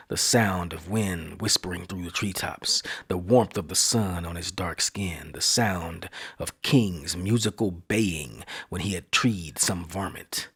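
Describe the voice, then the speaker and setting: A man hosting a podcast, telling a spooky story in a slow, hushed, dramatic studio reading. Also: low-pitched, slightly rough.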